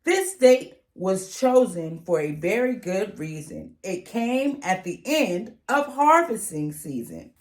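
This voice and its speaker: A woman reading a rhyming children's picture book aloud in an expressive, sing-song way. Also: mid-range voice, slow, hardly any pauses. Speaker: read-aloud reader.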